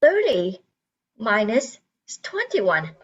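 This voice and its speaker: A woman's voice: fairly high pitch, wildly swooping pitch, extremely slow, husky, full character voice. A woman playing a weary, whiny eight-year-old little sister in an amateur radio play. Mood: indignant. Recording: dull, muffled lo-fi sound.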